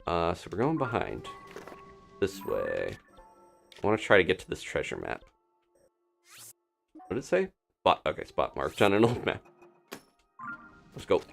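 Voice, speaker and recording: A man with a low, gravelly voice streams a video game and talks through it casually. His pitch moves around a lot as he rambles playfully and mutters to himself while working things out. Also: huge pitch swings, measured pace, long pauses, soft background music. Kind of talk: casual live gameplay commentary, thinking aloud.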